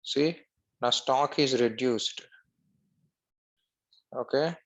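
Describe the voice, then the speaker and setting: A man narrating a screen-share software tutorial step by step as an instructor. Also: medium pitch, extremely slow, poor audio.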